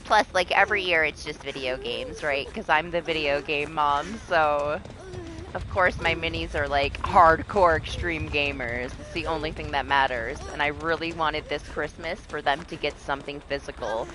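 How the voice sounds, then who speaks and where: A woman, middle-aged, with a raspy voice, chatting casually and freely with viewers as she streams a video game. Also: low-pitched. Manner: animated, chatty, quick to laugh, swears freely. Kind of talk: casual chat with stream viewers while gaming.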